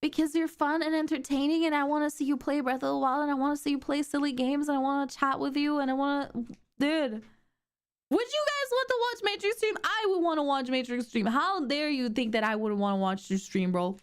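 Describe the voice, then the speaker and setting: A young woman streamer with a sore throat, chatting excitably and rambling with lots of fillers. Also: high voice, fairly quick. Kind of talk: casual stream chat.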